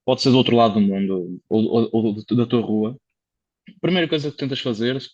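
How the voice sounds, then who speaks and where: A man's voice: mid-range voice, normal speed, a little mellow, no room sound, muffled recording. A Portuguese man, a car consultant, talking casually as a podcast guest, explaining things at length with many fillers and restarts. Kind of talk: casual conversational interview.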